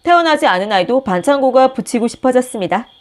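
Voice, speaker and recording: A woman reads a children's picture book aloud with gentle, expressive storytelling. Her voice sits somewhat high and moves in a lively way through each sentence. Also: quick pace. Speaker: storybook reader, channel host.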